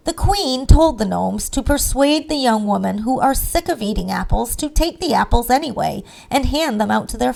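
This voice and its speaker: A woman's voice: fairly high pitch, gravelly, darkish tone. A woman reading a scripted fairy-tale narration as the storyteller.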